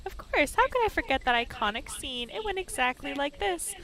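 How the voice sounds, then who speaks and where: A woman hosting a game playthrough, reading a visual novel aloud with sarcastic, sweary, expressive commentary. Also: sits high, fairly quick, vocal fry, a crowd in the background. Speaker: gaming video host. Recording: music behind the voice.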